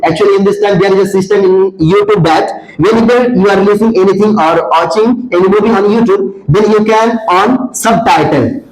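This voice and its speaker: A young man from India speaks English with an Indian accent, his voice sitting high. He gives earnest advice to fellow students in a classroom, filling pauses with "uh" and often starting his sentences over. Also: darkish tone, poor audio. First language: Hindi. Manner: earnest advice with many fillers and restarts. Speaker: senior student. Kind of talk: answering audience questions.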